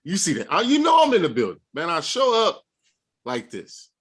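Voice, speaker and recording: Man, animated co-host with a bouncy, expressive voice and lots of slang. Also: high voice, normal speed, breathy edge. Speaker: podcast co-host. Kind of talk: casual chat.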